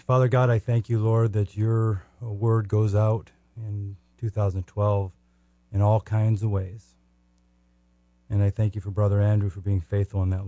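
A man from the United States speaking as a podcast guest in earnest, devout testimony and prayer, with halting phrasing and repeated words. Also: lowish voice, warm tone, no room sound.

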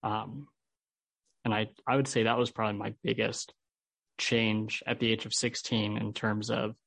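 A man's voice: fairly low pitch, flat delivery, normal speed, creaky, fairly bright tone, dry recording. A man with an American accent, a podcast guest telling his personal story in an interview. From Holland, United States.

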